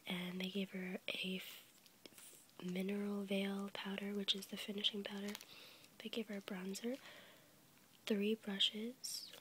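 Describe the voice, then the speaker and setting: A young woman with a cold, whispering softly as she rambles for an ASMR video, stuffy and a little congested. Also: mid-range voice, level tone, slow, slight background noise, low-quality recording. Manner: soft unvoiced whisper, rambling with fillers and small laughs. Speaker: ASMR YouTuber. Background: crinkling packaging.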